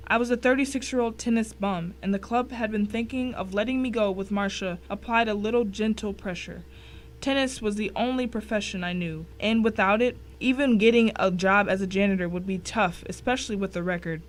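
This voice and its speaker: A woman's voice: medium pitch, close to an everyday voice. A woman narrating a dramatic story in the first person as a cornered tennis pro down on their luck.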